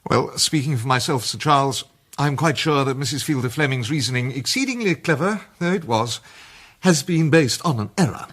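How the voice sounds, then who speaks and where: An actor playing a measured, courteous British gentleman chairing a club, speaking formally in a radio drama. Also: medium pitch, lively intonation. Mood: reasoned, formal.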